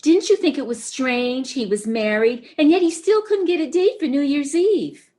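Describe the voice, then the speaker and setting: A woman's voice: high-pitched, animated, normal speed, mellow. A woman playing an anxious, sympathetic, easily overwrought neurotic wife in a stage comedy reading, fretful and emotional. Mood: emotional, reproachful. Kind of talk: stage comedy readers theater.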